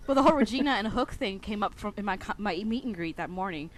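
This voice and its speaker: A woman's voice: mid-range voice, expressive, fast talker. A woman co-hosting a podcast, speaking in a casual chat style. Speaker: podcast co-host.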